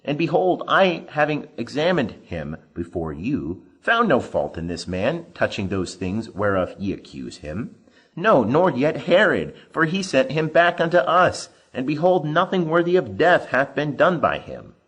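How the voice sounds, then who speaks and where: A man's voice: medium pitch, big pitch swings, unhurried, a bit bright, breathy edge, pitched above the performer's own voice, exaggerated voice, poor audio. A man voicing a stern Roman governor who protests emphatically in an audio scripture reading. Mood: firm, reasoning.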